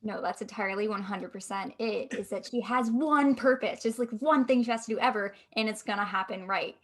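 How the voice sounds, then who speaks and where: Woman actor chatting, medium pitch. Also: brisk, a bit bright. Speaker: audio drama actor. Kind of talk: casual cast discussion.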